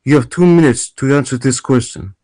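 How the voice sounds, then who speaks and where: A man's voice: medium pitch, brisk, dark tone, lightly acted. Formal male debate moderator read by a man. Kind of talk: amateur table read of a stage play.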